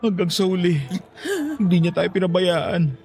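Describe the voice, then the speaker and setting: High-pitched, anguished Filipino husband in grief. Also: male voice, flat delivery, creaky, a little mellow, faint music behind. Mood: sorrowful. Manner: anguished, halting delivery. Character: stunned grieving husband, devoted and heartbroken. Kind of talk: emotional Filipino radio drama acting.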